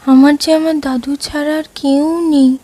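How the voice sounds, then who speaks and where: Woman acting a high-pitched, pleading little girl. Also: flat delivery, measured pace, warm tone. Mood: worried, pleading. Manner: plaintive, pleading. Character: grieving ten-year-old girl, tearful and pleading.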